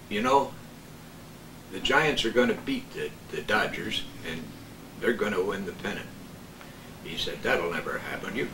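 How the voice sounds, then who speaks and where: An older man telling stories in a casual chat with a halting, hesitant delivery. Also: deep voice, pushed and bright. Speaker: veteran radio man as guest. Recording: distant echoey room with faint noise.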